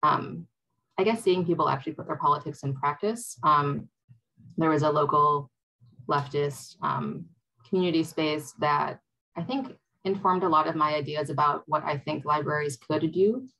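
A young woman from Wisconsin speaking on a video call panel, reflective and hesitant with many pauses. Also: low-pitched, vocal fry, pushed and bright, low-quality recording. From Wisconsin, USA. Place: home room.

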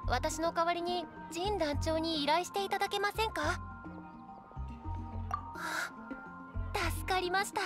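High-voiced polite young waitress. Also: female voice. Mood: relieved. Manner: courteous, formal speech. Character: polite, earnest young waitress asking for help. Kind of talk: Japanese game voice acting.

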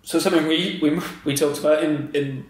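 A man's voice: on the high side, steady tone, a little fast. A man hosting a casual podcast interview, talking informally and often rephrasing himself. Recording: very echoey room.

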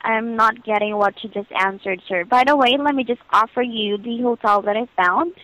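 A woman's voice: on the high side, moderate pace, a bit bright, dry recording. A woman working as a hotel booking agent, speaking politely and patiently in formulaic customer-service phrases over the phone. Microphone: telephone line. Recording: muffled lo-fi phone call.

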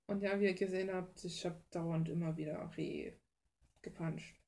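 A woman's voice: lowish voice, fairly even intonation, moderate pace, gravelly, a little mellow. A young woman rambles casually through her art process, informal and self-deprecating with some mild crudeness. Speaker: hobby artist and video host. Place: small cluttered room at home, indoors.

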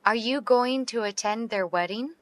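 A woman reading short English practice dialogues aloud, slowly and carefully enunciated. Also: on the high side, no echo. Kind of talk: slow read-aloud English practice phrases.

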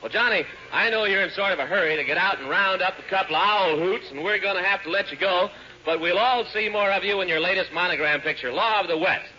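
Forceful, high-pitched American radio host. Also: male voice, fast. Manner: hearty, folksy showman. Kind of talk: 1940s live radio announcing for children. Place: theater stage. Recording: dull, noisy old radio broadcast recording.

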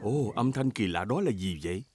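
A man acting as the calm storybook narrator of a children's cartoon, reading scripted narration. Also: fairly low pitch, moderate pace, lightly acted. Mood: storytelling. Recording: music under the voice.

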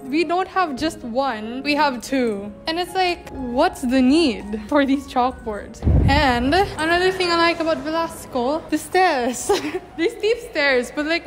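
A young woman from the Philippines giving a chatty, upbeat walking tour of a university, laughing often, recorded on a handheld mic in halls and classrooms. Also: sits high. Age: young adult. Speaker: vlogger giving a campus tour. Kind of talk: casual walking vlog. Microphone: handheld mic at arm's length. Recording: music playing underneath. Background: background music.

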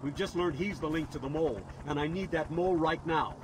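An actor playing a ruthless military commander, a video game villain with an authoritative, barking delivery. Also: male voice, fairly high pitch, fairly quick, background noise, lightly acted. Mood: cold command. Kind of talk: dramatic video game dialogue.

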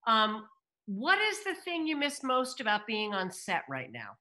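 A woman's voice: a little high, huge pitch swings, unhurried, bright, forceful tone. A middle-aged woman moderating on a video call, reading out script directions and audience questions. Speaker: moderator and stage-direction reader. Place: home room, video call.

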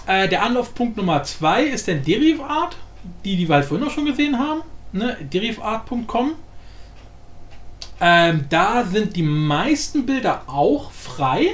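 High-pitched, animated man in echoey room. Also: measured pace. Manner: informal, rambling, slangy, thinking aloud. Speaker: tutorial host. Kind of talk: casual screen-recorded software tutorial. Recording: echoey room, faint background noise.

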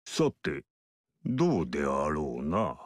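An actor playing an ageless male mystic sage in a video game, speaking in a measured, archaic and lofty way. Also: rumbling low, wildly swooping pitch, dry recording, studio quality, heavily acted. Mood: aloof. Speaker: game character. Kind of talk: game dialogue acting.

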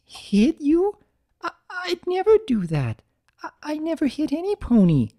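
Timid, apologetic young mare for a storybook performed by a man: high, stammering, swooping delivery. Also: wildly swooping pitch, measured pace, warm tone, heavily acted. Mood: panicked, apologetic. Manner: hesitant, stammering. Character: timid, sweet young female pegasus pony, anxious and apologetic.

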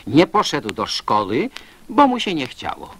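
A man's voice: fairly high pitch, huge pitch swings, a little slow, breathy edge. A man giving a theatrical recitation of a poem for children. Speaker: poetry reciter.

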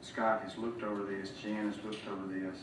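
A man's voice: fairly low pitch, little pitch movement, moderate pace. A man chairing a city council meeting in a businesslike, orderly way. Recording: echoey room, distant mic, background hum.

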